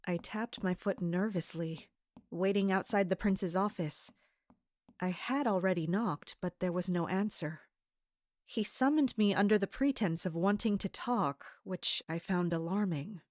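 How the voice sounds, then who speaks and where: Woman acting as a guard captain, dutiful and wary, measured formal delivery, audio drama narration, close dry sound. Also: medium pitch, a little mellow, no room sound, close to an everyday voice. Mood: guarded, pensive. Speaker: narrator and lead character. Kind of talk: scripted first-person audio drama narration.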